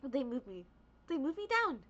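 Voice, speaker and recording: A woman's voice: high voice, sing-song, moderate pace, fairly bright tone. A young woman whose first language is Spanish, rambling in a casual, profane rant as a VTuber streamer, peppered with "like" and "right?". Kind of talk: casual ranting monologue. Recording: dull lo-fi recording.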